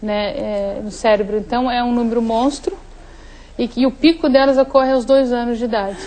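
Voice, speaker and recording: A woman's voice: a little high, steady tone, moderate pace, warm tone. Woman explaining as a neuropsychologist. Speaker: neuropsychologist, guest. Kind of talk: studio interview.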